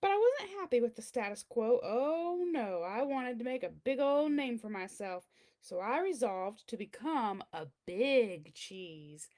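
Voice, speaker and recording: Woman playing a showy, boastful hunk of cheese, high-pitched. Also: sing-song, a little slow, a little mellow. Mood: eager, proud. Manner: pompous, showy, theatrical delivery. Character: boastful, attention-loving big chunk of cheddar cheese. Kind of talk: storybook read-aloud in character.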